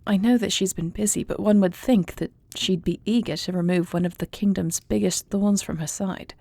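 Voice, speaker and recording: A woman playing a thoughtful, devoted warrior princess in an intimate fantasy audio roleplay, speaking in formal, measured, eloquent phrases. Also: medium pitch, steady tone, creaky, dark tone, no room sound. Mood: earnest, confiding.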